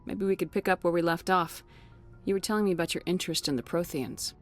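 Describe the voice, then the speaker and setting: Low-voiced, resolute female starship commander played by an actress. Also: soft background music, natural voice. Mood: questioning. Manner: firm, measured, to the point. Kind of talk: video game voice acting.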